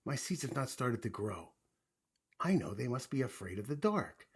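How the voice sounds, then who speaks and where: A man voicing an excitable, anxious male toad from a children's storybook, exclaiming in a dramatic, fretful way. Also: medium pitch, moderate pace, breathy edge. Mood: earnest.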